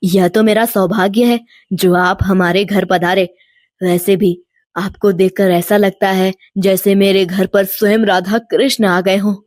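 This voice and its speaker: A poor, devout village man acted by a woman speaks humbly and gently. The voice sits low against a storyteller's usual pitch, dry and respectful, suited to an animated devotional story. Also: unhurried, dry recording, lightly acted. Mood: reverent.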